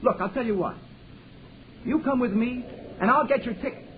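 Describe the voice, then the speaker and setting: A man's voice: extremely high pitch, normal speed, slight background noise. An actor playing a swaggering, mocking teenage boy in a 1940s radio drama, heard over a tinny old broadcast. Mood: cocky. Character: cocky teasing teenage boy.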